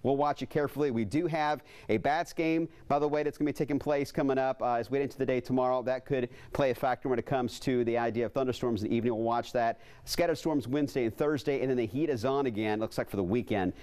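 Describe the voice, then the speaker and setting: Fast-talking male TV weatherman. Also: mid-range voice, a little mellow. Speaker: TV weather forecaster. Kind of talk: ad-libbed live weather report. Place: TV news studio. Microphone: lapel.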